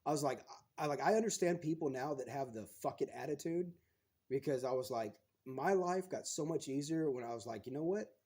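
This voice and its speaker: A man's voice: mid-range voice, brisk, mellow, husky. A man in his thirties, a guest on a podcast, talking frankly in casual chat and swearing freely as he retells things.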